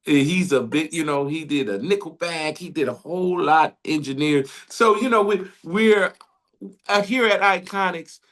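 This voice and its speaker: A man's voice: a little high, measured pace, fairly bright tone. Enthusiastic man hosting an interview. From Detroit, Michigan. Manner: enthusiastic, rambling, laughs often. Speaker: radio interview host. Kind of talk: casual interview chat.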